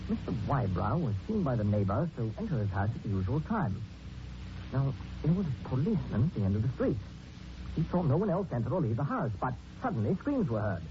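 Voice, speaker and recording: An actor playing a harried, cheeky newspaper reporter in a 1940s radio drama. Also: male voice, mid-range voice, sing-song, fairly quick, natural voice. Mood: exasperated. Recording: muffled, noisy 1940s radio recording.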